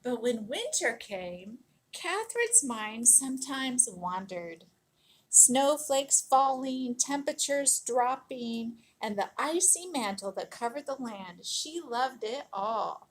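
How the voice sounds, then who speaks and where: Female storybook narrator, husky yet fairly high voice, theatrical and emphatic delivery for young listeners. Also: fairly bright tone, rough recording. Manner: dramatic, emphatic storytelling with drawn-out words. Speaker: storybook reader. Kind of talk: expressive read-aloud of a children's picture book.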